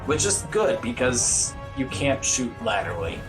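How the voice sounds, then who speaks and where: Guy speedrunning a game, slow casual talk but pitch jumps around, wry and self-deprecating, music behind him. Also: sing-song, rough recording. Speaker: speedrunner commentating on own play. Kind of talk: casual live gameplay commentary.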